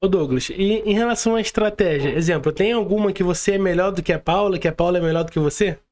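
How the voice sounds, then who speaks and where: A man's voice: high-pitched, fast talker, darkish tone. A Brazilian man co-hosting a podcast, chatting and asking questions in an informal, slangy way. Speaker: podcast co-host. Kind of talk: casual chat.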